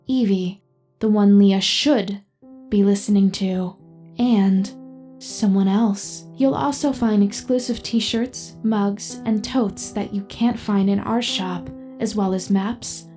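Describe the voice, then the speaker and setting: A woman playing a composed, analytical AI companion who narrates an audio drama in formal, precise, measured speech. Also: medium pitch, dark tone, lightly acted. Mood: knowing, addressing listener. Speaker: narrator and in-character host.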